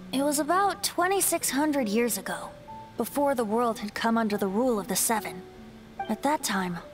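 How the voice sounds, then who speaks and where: A woman's voice: fairly high pitch, natural voice. A woman playing a boyish, ancient wind spirit bard in a video game, a playful, lilting storyteller with a light voice. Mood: reminiscing. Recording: game music behind the voice.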